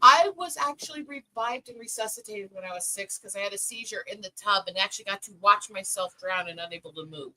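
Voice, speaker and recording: A woman's voice: medium pitch, fairly quick, hardly any pauses, pushed and bright, no room sound, rough recording. A woman in her forties chatting casually in a group, snarky and sarcastic, talking at length about herself. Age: 40s. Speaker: participant in an online group chat. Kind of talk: casual chat.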